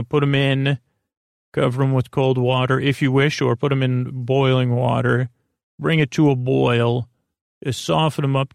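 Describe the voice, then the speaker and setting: A man with a heavily creaky, gravelly voice, recorded close and dry. He hosts a rambling bedtime podcast, improvising as he goes, halting often, circling back and leaving thoughts unfinished. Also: medium pitch, no echo. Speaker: podcast host.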